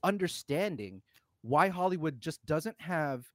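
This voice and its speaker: A man's voice: fairly high pitch, lively intonation, measured pace, no room sound. Emphatic man in a dry recording. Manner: exasperated, emphatic, sweary. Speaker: podcast co-host. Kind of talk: casual opinionated film discussion.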